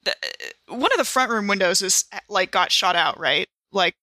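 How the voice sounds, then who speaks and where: A woman's voice: mid-range voice, animated, moderate pace, pushed and bright, dry recording. A woman chatting casually and improvising as a player in a tabletop role-playing game.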